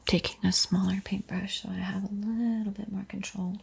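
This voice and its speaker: A woman whispers painting instructions in a soothing, encouraging ASMR style, with a breathy, airy voice. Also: mid-range voice, level tone, normal speed, a little hiss or hum. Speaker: art tutorial host.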